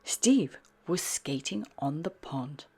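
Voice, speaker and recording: A woman narrating a children's storybook in an expressive, unhurried read-aloud style. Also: low voice, slightly rough. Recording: music behind the voice.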